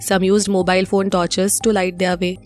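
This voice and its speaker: A woman's voice: medium pitch, little pitch movement, normal speed, a little mellow. Indian woman reading news over music. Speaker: news presenter.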